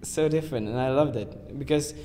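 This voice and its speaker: An eighteen-year-old man from Sweden with a Swedish accent, relaxed and laughing between words as an exchange student in a casual discussion. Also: mid-range voice, brisk. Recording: reverberant room, faint background noise.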